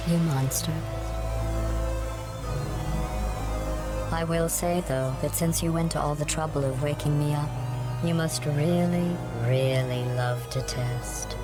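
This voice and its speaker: An actress voicing a murderous artificial intelligence in a video game, deadpan and sardonically polite, with synthetic processing on her voice. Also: sits low. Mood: menacing politeness. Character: cold passive-aggressive murderous female artificial intelligence. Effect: synthetic robotic voice processing. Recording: echoey, under loud game music.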